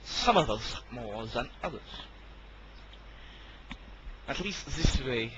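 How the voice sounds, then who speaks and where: A man's voice: medium pitch, big pitch swings, takes it slowly, a bit bright, a little hiss or hum, poor audio. A man playing a defiant, scheming military officer in an amateur audio drama, with an insistent manner. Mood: scheming, reassuring.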